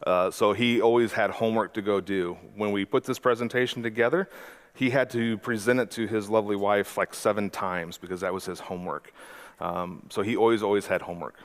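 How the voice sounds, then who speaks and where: A man's voice: on the low side, steady tone, a little fast. A man in his forties giving a conference talk as a mentor, conversational and often correcting himself mid-sentence. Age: 40s. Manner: conversational, self-correcting presenter with frequent "uh".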